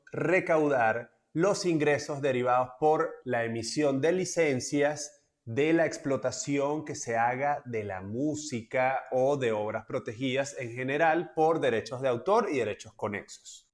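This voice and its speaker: A young man calmly explaining music licensing as a lesson, clear and methodical with quick asides. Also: on the high side. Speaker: music industry educator.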